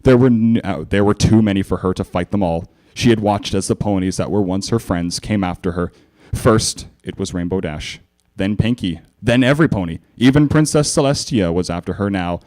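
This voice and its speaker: A man giving a theatrical, animated live reading of fan fiction as narrator and presenter. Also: lowish voice, normal speed.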